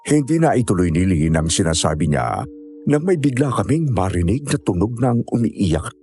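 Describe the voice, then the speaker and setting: A man's voice: on the low side, sing-song, normal speed, warm tone, slight background noise. A man with a Filipino accent playing a hiker who narrates an eerie mountain tale in scripted first person. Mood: measured storytelling. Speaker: story narrator.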